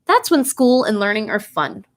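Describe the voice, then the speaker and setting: A woman reading a children's bedtime story aloud in an expressive, animated way, putting questions to young listeners. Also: a little high, moderate pace.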